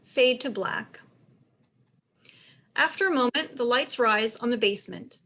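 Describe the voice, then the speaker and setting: A woman's voice: on the high side, animated, measured pace, pushed and bright. A woman reading stage directions as narrator, in scripted narration for a play.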